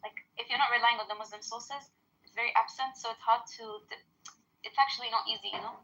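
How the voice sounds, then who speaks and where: A woman in a casual online debate, quick and teasing. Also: a little high, fairly even intonation, sharp tone, a little hiss or hum, low-quality recording.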